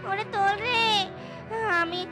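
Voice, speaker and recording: A woman's voice: very high-pitched, slow pace, over loud music. A woman voicing a trapped fox who wails and begs for rescue in a cartoon. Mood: desperate. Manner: wailing, begging. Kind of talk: Bengali cartoon dialogue.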